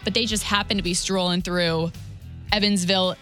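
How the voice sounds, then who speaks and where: A woman's voice: lowish voice, fairly even intonation, normal speed, fairly bright tone, faint music behind. A woman co-hosting a radio show, chatting and telling entertainment stories informally into a close studio microphone. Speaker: radio morning show co-host. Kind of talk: casual radio entertainment chat.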